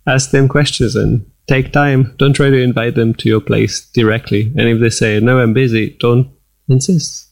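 A man's voice: mid-range voice, steady tone, normal speed, gravelly, no room sound. A man from France with a French accent, a guest talking bluntly with dry humor. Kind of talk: casual chat.

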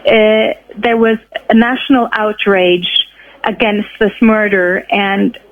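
A woman explaining as an expert guest in a news interview, measured and searching for words. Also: medium pitch, little pitch movement. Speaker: expert guest on right-wing extremism. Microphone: telephone. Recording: narrow, tinny phone line.

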